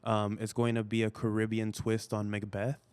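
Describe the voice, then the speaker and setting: A man's voice: fairly low pitch, monotone, moderate pace, a little mellow, clean tone. A man whose first language is Spanish, an actor chatting easily as a podcast guest with many "you know" fillers. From United States. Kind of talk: casual interview chat.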